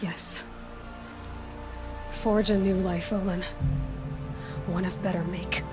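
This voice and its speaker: Direct, guarded young heroine. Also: female voice, fairly low pitch, fairly even intonation, takes it slowly. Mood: solemn. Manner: direct, stern, probing. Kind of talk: video game cutscene acting. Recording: music behind the voice.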